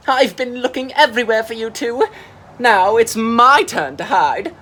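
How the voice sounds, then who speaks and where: A boisterous, childlike sea monster for a storybook. The voice is loud, high and exaggerated, swooping around in silly fashion. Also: male voice, lively intonation, unhurried, exaggerated voice. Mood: playful. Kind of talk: children's storybook character reading.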